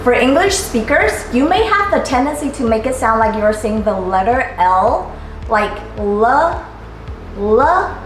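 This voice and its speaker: A woman, teaching a scripted language lesson at home in an upbeat, playful way and saying sounds slowly and clearly for learners to repeat. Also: a little high, very animated, slight background noise. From Taiwan. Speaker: Mandarin teacher. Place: living room at home. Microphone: camera at a distance, seated facing it. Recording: very echoey room, faint music.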